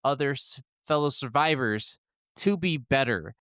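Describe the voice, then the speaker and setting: A man with a smooth, clear, mid-pitched voice talks casually as a gaming podcast co-host. He is enthusiastic and rambling, with loose swearing, and the sound is very dry. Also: expressive, unhurried, fairly bright tone, dry recording. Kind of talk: casual, opinionated gaming chat.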